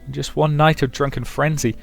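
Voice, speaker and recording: A man's voice: on the high side, fairly even intonation, fairly quick, creaky, faint music behind, pitched above the performer's own voice. A man voicing an anguished, guilt-ridden young woman with a hot temper in a visual novel, stammering and emotional. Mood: anguished, firm. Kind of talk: visual novel character reading.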